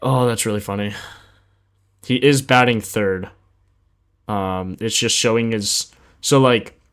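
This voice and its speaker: Young male sports betting streamer, lower-pitched, informal and slangy, off-the-cuff commentary. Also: fairly bright tone. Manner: slangy, informal, thinking aloud. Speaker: sports betting livestream host. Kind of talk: casual livestream chat and commentary.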